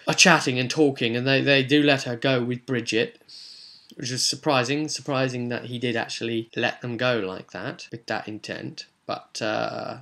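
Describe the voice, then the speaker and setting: A man talks through his tabletop game session as its game master, in a bright, forward voice. He quotes what the characters said without doing voices, and pads his telling with fillers and restarts. The tone is easy and conversational. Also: mid-range voice. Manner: retells scenes, quoting characters in his own voice, many fillers. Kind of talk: casual spoken recap of a tabletop game.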